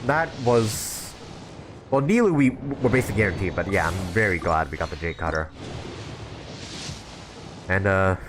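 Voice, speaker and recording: Chatty young man streaming, lively low-ish voice. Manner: informal, chatty, thinking aloud. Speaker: game streamer. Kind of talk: casual live stream commentary.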